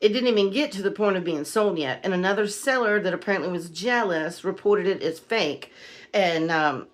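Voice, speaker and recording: A woman's voice: medium pitch, moderate pace. A woman from the United States giving casual vlog-style advice and storytelling as an online reseller, plain-spoken, emphatic and aggrieved. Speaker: online reseller and video creator.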